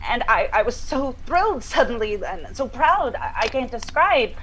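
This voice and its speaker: An actress playing a haunted, enraptured woman recounting a dream in a halting, wistful monologue. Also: a little high, big pitch swings, a bit bright, slight background noise, poor audio. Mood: dreamy, awed. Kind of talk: dramatic interview-style monologue.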